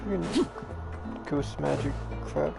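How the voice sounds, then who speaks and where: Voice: medium pitch, takes it slowly, creaky. A person muttering casual, mildly exasperated reactions while playing a game, with game music behind. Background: game audio and music.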